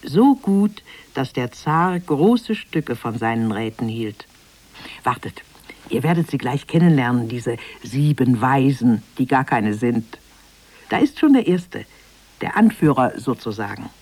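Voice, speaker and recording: A woman playing a Russian fairy-tale storyteller, speaking to children in an expressive, theatrical way with deliberate emphasis. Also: a bass voice, husky, full character voice. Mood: conspiratorial, playful.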